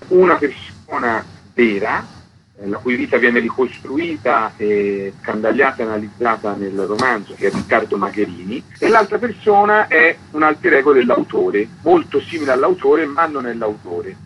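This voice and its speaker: A man, an author being interviewed, explaining things in spontaneous, unscripted speech. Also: mid-range voice. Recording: dull lo-fi sound, faint background noise.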